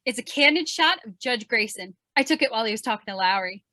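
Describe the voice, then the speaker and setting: A woman playing an eager, brash, excitable young news photographer in an old-time radio drama. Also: fairly high pitch, fast talker, no echo. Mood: eager, proud. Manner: excitable, slangy.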